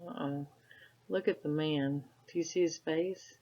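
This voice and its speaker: A woman reading a children's story aloud like a teacher, adding casual comments and gentle questions for the listener. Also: low voice, fairly even intonation, measured pace. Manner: asks gentle tag questions. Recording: dull, lo-fi sound.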